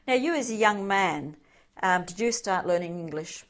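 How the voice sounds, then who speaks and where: A woman interviewer prompting an older man with measured questions. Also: mid-range voice, expressive. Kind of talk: oral history interview.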